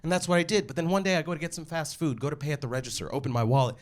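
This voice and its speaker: A man's voice: on the high side, expressive, rapid-fire. A man with a Californian American accent doing stand-up comedy, telling stories in a casual, profane, slangy way. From United States, California. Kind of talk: stand-up comedy storytelling to a live audience. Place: live comedy show with audience.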